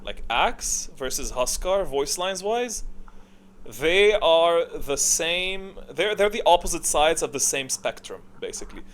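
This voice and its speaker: A man giving casual, off-the-cuff ranking commentary in an informal, opinionated way, often halting to think aloud. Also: on the high side, animated, a bit bright. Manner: opinionated, informal, mildly profane. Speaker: video creator giving a tier list. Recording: clearly audible background noise.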